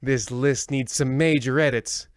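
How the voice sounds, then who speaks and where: A man acts out a pompous horror-anthology host for a comic radio play. He speaks slowly and theatrically with mock-sinister menace, in a close, dry recording. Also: medium pitch, fairly even intonation, dry recording, lightly acted. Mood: dryly droll. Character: creepy, pompous, insulting male horror-show host. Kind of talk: parody of old-time radio horror hosting.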